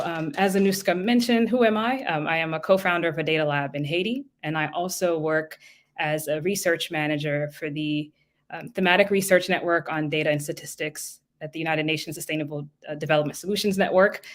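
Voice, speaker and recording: A woman giving a lightning talk on a video call, articulate and measured, with frequent ums. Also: sits low. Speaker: guest speaker.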